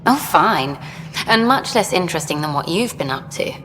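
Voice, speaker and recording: A woman's voice: on the low side, expressive, moderate pace, fairly bright tone, a little hiss or hum. A chatty, upbeat female documentary maker with a mid-range voice. Her lines have a long reverb over faint ambience.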